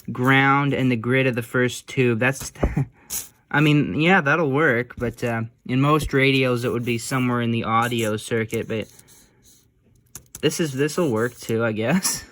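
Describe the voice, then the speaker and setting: Male electronics hobbyist, medium pitch, unscripted and halting, muttering through his work as he goes. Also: a bit bright. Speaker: hobbyist restoring old electronics. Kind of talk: casual unscripted talk while working on a repair.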